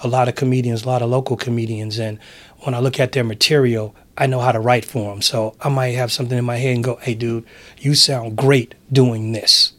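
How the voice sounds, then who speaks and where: A middle-aged man speaks in a raspy, mid-pitched voice. He talks like a relaxed interview guest, casual and full of easy slang, with a chill manner. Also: fairly quick. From San Francisco, USA. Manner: laid-back, chill, slangy. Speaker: interview guest, public address announcer.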